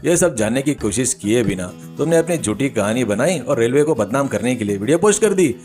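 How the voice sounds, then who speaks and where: A stern police sub-inspector from a comic story, acted by a man. He scolds with authority and sarcastic menace, in a lower register than the villagers, with music behind him. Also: expressive, a little fast, a little mellow, natural voice. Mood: scolding.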